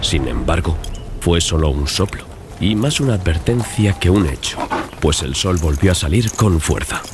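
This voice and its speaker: A man narrating a gothic story as a scripted, dramatized audiobook, in a measured, literary storytelling manner. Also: deep voice, expressive, noisy background. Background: music bed.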